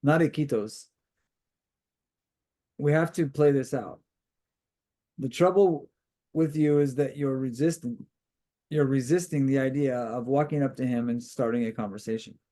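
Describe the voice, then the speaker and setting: A man reading the part of a calm, insistent woman guide from a novel, in an instructive, assured way. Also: mid-range voice, measured pace, long pauses, lightly acted.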